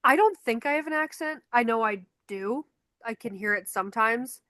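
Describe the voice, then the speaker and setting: A woman's voice: fairly high pitch, moderate pace, no room sound, studio quality. A young woman with a Chicago accent, a podcast co-host telling stories in casual chat and acting out the quotes. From Chicago, United States. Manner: animated storyteller acting out quotes. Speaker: podcast co-host, concert photographer.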